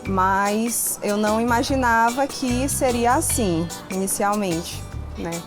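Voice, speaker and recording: A woman with a Maranhão accent, trained in gastronomy, telling her story in a lively way and often saying "né". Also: on the high side, slow pace. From São Luís, Maranhão, Brazil. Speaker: trained cook. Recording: music underneath.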